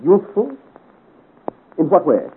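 A man's voice: sits high, huge pitch swings, unhurried, heavily acted. An actor playing a proud, imperious French king in a 1950s radio serial, with formal, theatrical delivery. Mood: incredulous, stern. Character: proud, imperious 17th-century French king, easily scandalised. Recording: scratchy, tinny 1950 radio serial recording.

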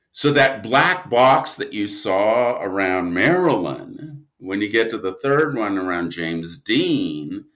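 He is a man with a lowish voice, talking as a guest in a casual interview. His delivery is slow and halting, with restarts mid-thought. The intonation moves widely, which keeps him animated. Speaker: interview guest, audio drama writer.

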